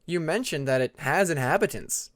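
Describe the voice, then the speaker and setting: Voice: mid-range voice, expressive, normal speed, lightly acted. A narrator voicing a young male dragon who is curious and uncertain, speaking in a hesitant, questioning way.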